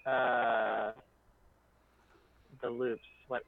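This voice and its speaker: Male stream co-host and editor, casual conversational delivery, mid-range pitch, heard over a narrow tinny call line. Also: little pitch movement, extremely slow, vocal fry, no room sound. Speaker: video editor of a fan abridged series, stream co-host. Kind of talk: casual group chat about editing.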